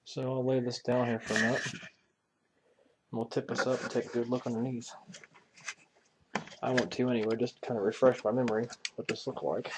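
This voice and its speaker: A man's voice: mid-range voice, steady tone, fairly quick, long pauses, background noise. A man giving a casual, unscripted hobbyist walkthrough in a folksy way, musing aloud as he handles parts. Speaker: hobbyist radio restorer presenting a repair video.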